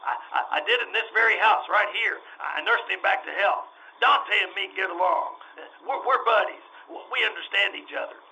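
Man acting a stammering, high-pitched folksy carnival man. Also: bright, forceful tone, slight background noise, heavily acted, rough recording. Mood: proud, fond. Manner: stammering, halting, repeats words. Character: nervous, folksy small-time carnival man, evasive but chatty. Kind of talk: old-time radio drama acting.

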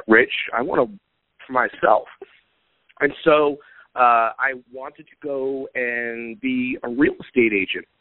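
A man being interviewed as a guest on a podcast, telling his story in a relaxed way with many fillers. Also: medium pitch, dry recording. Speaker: podcast guest, marketing coach. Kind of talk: casual interview talk. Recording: tinny phone-like line, dry.

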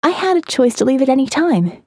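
A woman's voice: sits high, big pitch swings, a little fast, dark tone, no room sound. A woman voicing a candid, amused and wistful young woman in an audio novel, with a shrugging manner that tends to trail off. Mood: indifferent, candid.

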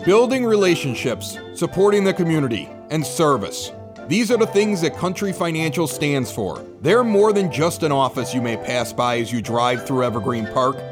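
A man hosting a local podcast with an animated radio-announcer delivery. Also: a little high, moderate pace, music in the background. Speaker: podcast host. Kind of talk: scripted local news reads and casual bar chat. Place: at a bar.

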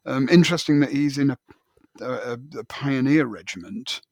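A man with a medium-pitched voice discusses books as a podcast host. He speaks conversationally but haltingly, often doubling back on small words as he builds a point. The sound is dry, with little room on it. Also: expressive, darkish tone, no room sound. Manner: hesitant, halting delivery with repeated words.

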